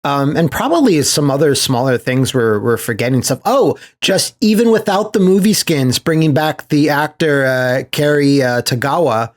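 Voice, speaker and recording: A man's voice: a little high, animated, moderate pace, few pauses. A man chatting casually as a podcast host, enthusiastic and rambling in a slangy way. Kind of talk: casual podcast chat about movies.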